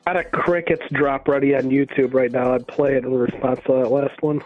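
A man's voice: medium pitch, steady tone, brisk, dry recording, soft background music. A man calling in to a radio show, rambling and repeating himself as he chats. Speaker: caller. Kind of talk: casual call-in chat. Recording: dull phone line.

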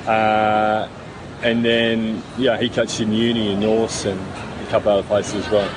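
A middle-aged man from New Zealand, a former rugby player answering interview questions in a relaxed, rambling way with many fillers and restarts. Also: fairly low pitch, a little slow, background noise.

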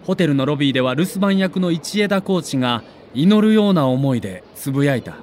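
A man narrating a scripted documentary in a dramatic, measured storytelling manner. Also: a little high, animated, a little mellow, a little hiss or hum.

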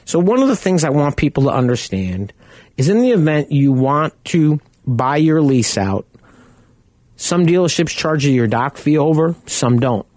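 A man with a loud voice hosting a radio talk show, speaking in a casual, rambling, anecdotal way. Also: a little high, expressive, moderate pace, no room sound.

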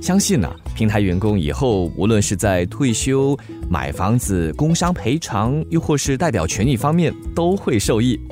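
A male actor as a caring, generous Singaporean driver who mentors younger men. He is brisk and reassuring, with lively swings in pitch, in a radio drama with light music behind. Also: natural voice. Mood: earnest. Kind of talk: Mandarin radio drama acting.

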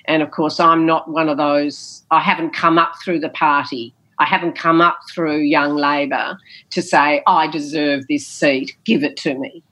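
A woman's voice: low voice, fairly even intonation, a little slow, sharp tone, low-quality recording. A woman, a former politician, answering interview questions in an emphatic, storytelling way with a big theatrical voice, often repeating phrases and saying "you know".

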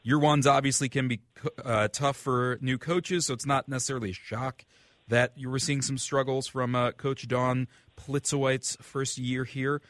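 A man's voice: medium pitch, little pitch movement, normal speed, a bit bright, dry recording. An American man hosting a sports radio show, setting up questions for panelists in an informal, explanatory, conversational way.

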